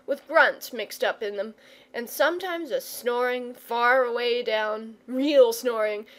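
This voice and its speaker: A woman's voice: on the high side, a bit bright. A woman voicing a nervous, folksy older shepherd father with rustic dialect in a storybook reading. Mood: uneasy. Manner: folksy dialect speech, plain-spoken.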